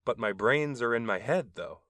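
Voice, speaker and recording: A man voicing an alien right-hand man who speaks formally, earnestly and with a deadpan, literal manner. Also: medium pitch, moderate pace, no echo, studio quality.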